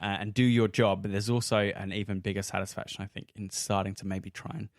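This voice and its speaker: A man's voice: fairly low pitch, flat delivery, fast, no room sound, studio quality. An Australian man hosting a casual round-table chat, often hesitating as he searches for the right words. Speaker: podcast host and game referee.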